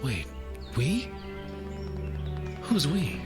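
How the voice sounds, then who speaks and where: A man playing a bewildered young man in an audio drama, breathless, stammering and excitable. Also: on the high side, drawn-out words, exaggerated voice. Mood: shocked confusion. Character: bewildered young man just awakened from captivity. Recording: music behind the voice.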